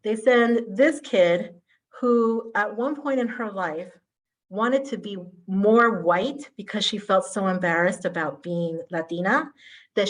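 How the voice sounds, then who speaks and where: Animated woman giving a keynote. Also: mid-range voice, rough recording. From Colombia. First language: Spanish. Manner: animated, self-deprecating, quick asides. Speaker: keynote speaker. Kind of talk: conference keynote talk.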